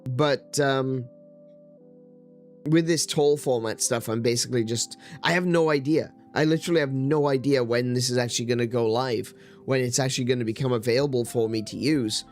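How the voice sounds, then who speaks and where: A man hosting his live stream, chatting casually and rambling with hesitant fillers and restarts, his voice a little croaky and strained. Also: mid-range voice, normal speed, soft background music. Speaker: streamer host.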